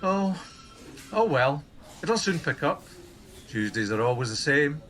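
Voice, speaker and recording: A man's voice: fairly high pitch, sing-song, a little slow, fairly bright tone, faint music behind, poor audio. A middle-aged man playing a wry, inquisitive senior colleague at a helpline in a radio play, with a dry, probing manner. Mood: wry, casual. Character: wry, inquisitive older male colleague in charge.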